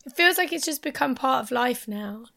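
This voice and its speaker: A hoarse woman with a sore throat, talking over a video call, her voice gravelly with fry and pitched a little high. She chats in a relaxed, casual way and often sinks to a near whisper. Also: moderate pace. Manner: chatty, drops to a near whisper at serious points.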